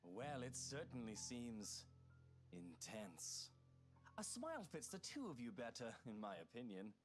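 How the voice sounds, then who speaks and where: Smooth creepy-flirty husband in a game, patronizing, pitch swoops everywhere, music behind. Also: male voice, very animated, a little slow. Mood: suave, flirtatious. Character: smooth, flirtatious, condescending husband of a wealthy client. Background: game background music.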